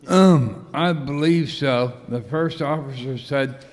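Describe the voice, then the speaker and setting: In a reverberant hall, a man plays a puzzled cruise passenger. He has a medium-pitched voice and a complaining manner, in an amateur radio skit. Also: slow, close to an everyday voice. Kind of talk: amateur live comedy horror radio skit. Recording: echoey hall, long reverb.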